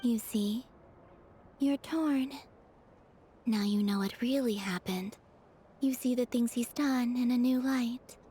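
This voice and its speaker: A woman voicing a gentle, enigmatic, pensive young woman in a video game, with soft and wistful delivery. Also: on the high side, slow pace, long pauses, slight background noise. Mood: calm, understanding. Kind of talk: dramatic video game dialogue. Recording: faint game music behind.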